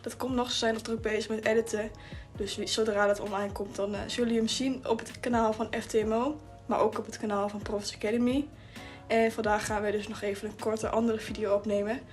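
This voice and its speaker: A woman's voice: a little high, little pitch movement, fast talker, faint music behind. A young woman from the Netherlands with a Dutch accent, chatting casually as a video host. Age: young adult. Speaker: YouTube host and trader.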